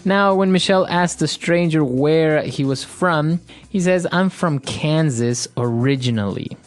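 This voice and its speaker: A man's voice: on the high side, unhurried. Ecuadorian man co-hosting a language lesson. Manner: agreeable, explanatory, frequent "that's right". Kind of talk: casual teaching chat.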